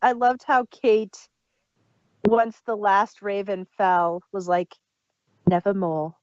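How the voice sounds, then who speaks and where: A woman's voice: medium pitch, fairly even intonation, slow, darkish tone, no room sound. A woman co-hosting a casual review chat, on a dull lo-fi recording. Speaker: podcast co-host reviewing audio dramas.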